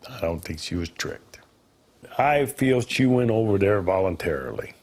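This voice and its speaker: A man giving firm, slow answers in an interview. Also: fairly low pitch, expressive, a little mellow.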